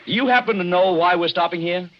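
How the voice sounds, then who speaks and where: An actor playing a devoted, cheerful young American honeymooner in a 1940s radio drama. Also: male voice, sits high, quick pace, lightly acted. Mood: puzzled. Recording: muffled, noisy 1940s radio recording.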